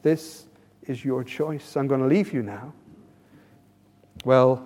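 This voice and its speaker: A man's voice: mid-range voice, takes it slowly, darkish tone. A man with an English accent preaching a sermon, earnest and full of rhetorical questions, often repeating words as he starts a phrase. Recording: reverberant hall.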